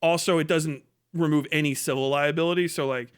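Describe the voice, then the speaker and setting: A man with a slightly high voice talks as a live stream host. He rambles casually and bluntly, cursing freely as he tells his stories. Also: steady tone, fairly quick, clear, resonant tone. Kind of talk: casual, profane ranting and storytelling.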